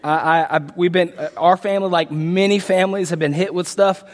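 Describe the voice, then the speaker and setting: A man preaching a verse-by-verse sermon, his voice a little lower from illness, earnest with frequent restarts and rising emphasis. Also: steady tone, brisk, in a large hall. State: sick, voice deeper than usual. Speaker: pastor.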